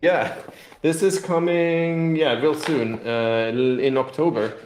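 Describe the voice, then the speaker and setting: A man speaking as a game publisher in a casual interview, explaining things haltingly and often repeating words. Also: a little high, a little mellow, a little hiss or hum. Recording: echoey room.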